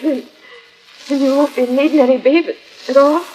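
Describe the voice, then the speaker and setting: An old radio disc with faint surface noise carries a high-pitched young cowgirl played by an actress. She is earnest and emotional, speaking with an American accent and dramatic hesitations. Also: steady tone, normal speed, darkish tone, close to an everyday voice, low-quality recording. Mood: crushed, pleading. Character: earnest, emotional young female rancher and trail boss. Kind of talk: 1940s radio acting.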